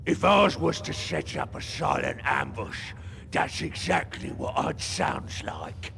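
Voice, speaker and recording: A man playing a crude ork henchman in a video game, with a gravelly, forceful delivery. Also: medium pitch, very animated, takes it slowly, heavily acted. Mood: eager. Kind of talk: video game cutscene acting.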